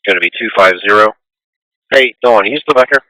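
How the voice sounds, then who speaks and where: A man with a deep, forceful voice handles police dispatch traffic over the radio. He talks informally and haltingly, working things out as he speaks. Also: no room sound, poor audio.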